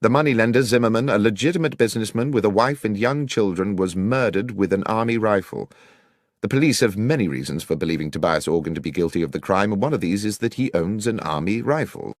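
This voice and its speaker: A man's voice: lowish voice, animated, fast, dry recording, clean studio sound. A man voicing a brilliant, commanding detective in an audiobook, with a British accent and precise, formal, authoritative manner. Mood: probing, precise. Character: brilliant, commanding male detective, brisk and grave.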